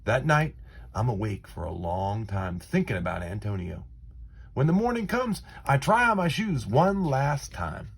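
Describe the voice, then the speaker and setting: A man reading a children's story aloud in an expressive, dramatic way with deliberate phrasing. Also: medium pitch, vocal fry, breathy edge. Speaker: storybook reader.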